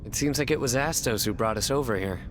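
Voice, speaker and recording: An actor playing an eager, friendly young warrior in a video game, speaking with earnest energy. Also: male voice, mid-range voice, fast talker, natural voice. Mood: curious, ready. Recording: loud ambient noise over voice.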